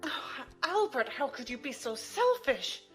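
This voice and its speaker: Emotive widowed mother played by a woman, swinging pitch. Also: very animated, unhurried, soft background music. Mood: indignant, pleading. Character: sentimental, widowed mother of a grown officer, emotionally demanding. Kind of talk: sci-fi audio drama acting.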